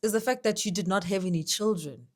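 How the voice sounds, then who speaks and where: A woman's voice: medium pitch, fast. A young woman hosting an interview with her father, chatty and enthusiastic, turning to speak to her viewers. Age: young adult. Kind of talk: casual interview.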